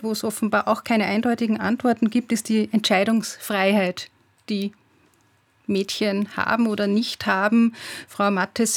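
Host, woman, measured and formal, introduces guests and reads emails. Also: medium pitch. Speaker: radio host. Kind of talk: radio talk show moderation.

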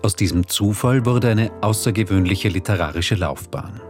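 A man narrating a scripted radio promo in a polished, deliberate way. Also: lowish voice, lively intonation, music in the background.

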